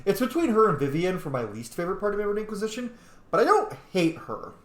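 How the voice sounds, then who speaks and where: A man's voice: high-pitched, fast talker. A man giving casual, sweary game commentary as the host of a gaming channel. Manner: animated, sweary. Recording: echoey room.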